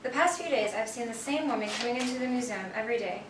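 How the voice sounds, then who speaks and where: A composed, businesslike female curator, played by a stage actress speaks quickly in a fairly high voice. Her delivery is formal, in the manner of a radio noir drama. The sound is roomy, as if miked from across a theatre. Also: expressive, close to an everyday voice. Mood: poised, confiding. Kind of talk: old-time radio drama acting on stage. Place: theatre stage, recorded from a distance. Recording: echoey stage, distant mic, faint noise.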